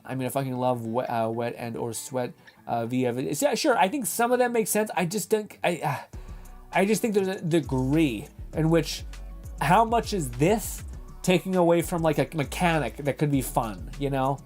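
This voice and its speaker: A man's voice: a little high, moderate pace, a little mellow, soft background music. A young man hosting a livestream in rambling casual chat, reading chat aloud and laughing, his voice going from a dry throat. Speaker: livestream host.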